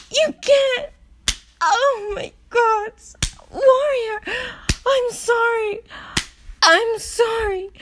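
A woman playing a proud, distressed adult demigoddess in an audio roleplay, with halting, breathy exclamations. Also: very high-pitched, slight background noise, exaggerated voice.

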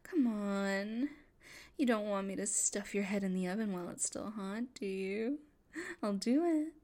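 A woman playing a possessive, unstable, domineering woman in an intimate audio roleplay. She whispers and coos in a breathy, menacing voice. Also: mid-range voice, measured pace, darkish tone, close to an everyday voice. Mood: threatening.

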